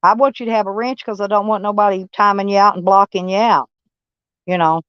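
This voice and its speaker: Live-stream host: a blunt, folksy Texas-accented woman, smooth voice, dull lo-fi audio. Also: medium pitch, fairly even intonation, brisk, a bit bright, dry recording. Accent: Texan. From Kentucky, USA. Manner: rambling, folksy, blunt and swearing. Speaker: livestream host. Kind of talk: casual live-stream chat with viewers.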